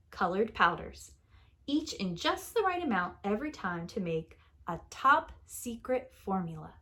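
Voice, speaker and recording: A woman reading a picture book aloud like a preschool teacher, in a deliberate, expressive storytelling manner that leans on key words. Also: medium pitch. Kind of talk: children's story read-aloud.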